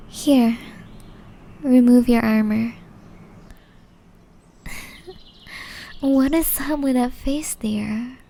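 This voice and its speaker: A woman playing a gentle, caring, centuries-old witch in an ASMR roleplay, speaking softly and breathily, often in a whisper, with tender teasing. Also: on the high side. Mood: firm, caring. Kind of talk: ASMR roleplay, intimate scripted acting.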